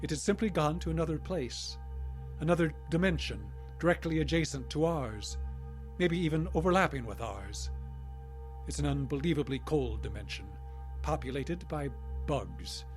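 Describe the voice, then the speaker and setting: A man's voice: a little high, expressive, moderate pace, soft background music, lightly acted. A man acting in a radio drama as a defiant, wary older scientist held captive, guarded and resolute. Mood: defiant, explaining.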